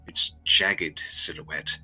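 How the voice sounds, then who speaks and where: Very deep-voiced man narrating gothic drama. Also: fairly even intonation, pushed and bright, slightly rough, low-quality recording. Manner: solemn, dramatic, deliberate phrasing. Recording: music behind the voice.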